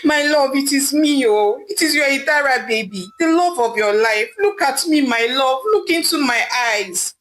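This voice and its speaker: A woman's voice: high voice, moderate pace, hardly any pauses, a bit bright, pitched above the performer's own voice, poor audio. A woman voicing an angry, betrayed young wife who screams, wails and begs. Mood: pleading. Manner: screaming, wailing, begging.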